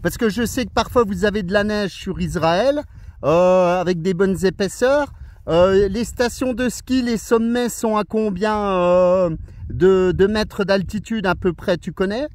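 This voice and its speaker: Male radio hobbyist, high-pitched voice, chatty upbeat delivery, casual on-air conversation. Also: measured pace. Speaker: amateur radio operator. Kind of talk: casual radio contact chat.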